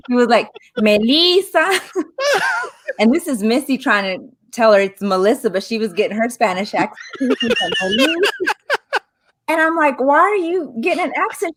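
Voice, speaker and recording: A woman co-hosting a casual web chat show, telling a funny story and laughing through it. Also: fairly high pitch. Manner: chatty, laughs through her stories. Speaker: co-host.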